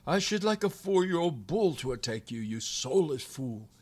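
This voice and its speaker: A man's voice: on the high side, sing-song, moderate pace, voice pushed up. A man voicing a tiny shriveled demon from a storybook, pompous, desperate and spiteful, with grandiose theatrical pleading and cursing. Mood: muttered spite. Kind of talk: storybook character voice.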